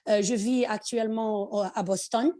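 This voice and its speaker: A woman from Morocco with a Moroccan accent, a podcast guest talking conversationally and haltingly, searching for words and slipping in English. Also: on the high side, fairly even intonation, dark tone. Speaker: podcast guest, finance executive. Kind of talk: conversational interview.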